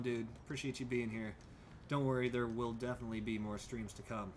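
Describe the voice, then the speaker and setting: A man's voice: mid-range voice, a little hiss or hum. A young man livestreaming a driving game, chatting casually, thinking aloud and thanking viewers by name. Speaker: streamer. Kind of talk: casual livestream chat while gaming.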